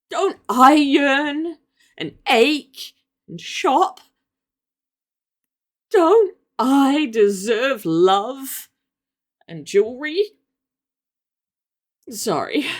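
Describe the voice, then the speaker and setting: A woman playing a self-pitying, spoiled villainess wife who kills, in a melodramatic, drawn-out comedic monologue. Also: sits high, voice pushed up, exaggerated voice. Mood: wailing, indignant. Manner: melodramatic, drawn-out, emphatic delivery.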